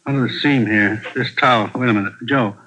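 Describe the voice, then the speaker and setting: An actor playing a methodical police crime lab technician, terse and matter-of-fact, in a 1940s radio drama. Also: male voice, medium pitch, fairly quick, bright, forceful tone, highs cut off, close to an everyday voice. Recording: old radio recording, faint noise.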